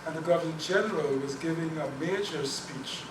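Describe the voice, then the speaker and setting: A man's voice: fairly high pitch, a little fast. A man from Guyana giving an academic talk and answering questions, earnest, often repeating words as he thinks. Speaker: guest speaker on Caribbean languages. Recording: echoey room, distant mic, noisy.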